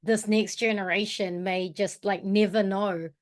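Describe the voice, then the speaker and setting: A woman's voice: medium pitch, fairly even intonation, measured pace. A woman with a New Zealand accent talking informally in an online workshop, thinking aloud as she co-presents. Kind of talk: casual reflective discussion in an online workshop. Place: online video call.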